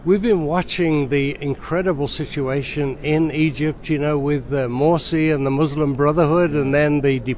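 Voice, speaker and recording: A man from Nigeria hosts the interview with a voice a little higher than usual. He asks friendly questions and nods along with "yeah" and "mm-hmm". Faint noise runs behind him. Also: steady tone, moderate pace, hardly any pauses, a little mellow. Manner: friendly, frequent "yeah" backchannels. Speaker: interview host.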